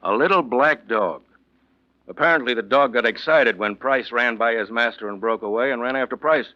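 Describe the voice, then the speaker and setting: A man's voice: medium pitch, moderate pace, bright, forceful tone, natural voice. Matter-of-fact police investigator played by a man, muffled. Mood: satisfied. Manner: plain, explanatory, courteous. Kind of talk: 1940s radio drama acting. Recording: muffled vintage 1940s radio recording.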